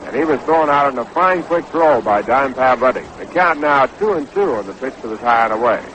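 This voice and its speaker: A man doing measured, unhurried live baseball play-by-play commentary on a 1960s radio broadcast. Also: medium pitch, lively intonation, a bit bright, a little hiss or hum, low-quality recording. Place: stadium broadcast booth.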